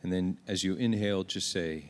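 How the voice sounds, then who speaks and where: A man with a Norwegian accent, a pastor, guiding a slow, soft, prayerful meditation in a church. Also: sits low. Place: church sanctuary.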